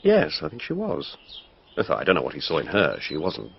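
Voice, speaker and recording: A man's voice: a bass voice, wildly swooping pitch, moderate pace, creaky, full character voice. An actor playing an aloof, dreamy man who loves beauty, languid and disdainful, in a radio drama. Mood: guarded, dismissive. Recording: dull radio recording with faint noise.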